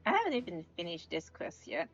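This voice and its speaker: A woman streaming a game, reacting casually out loud to the story. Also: lowish voice, wildly swooping pitch, fairly quick, slightly rough, muffled recording. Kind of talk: casual live reaction commentary. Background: game music and dialogue.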